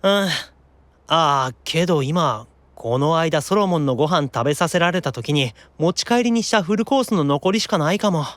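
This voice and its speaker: A man's voice: fairly high pitch, normal speed, no echo. An actor playing a whiny, easily panicked young man in an anime-style audio drama. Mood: exasperated, teasing. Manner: animated, stammering exclamations when unnerved.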